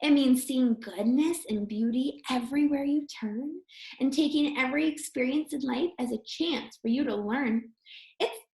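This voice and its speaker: A woman's voice: high voice, normal speed, creaky, darkish tone. A woman reading a children's picture book aloud expressively, like a teacher, with encouraging asides spoken straight to young listeners. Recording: roomy, echoey sound.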